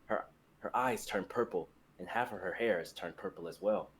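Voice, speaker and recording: A man's voice: low-pitched, moderate pace, slight background noise. A young man reading stage directions as the narrator of a dramatic fantasy script. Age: young adult.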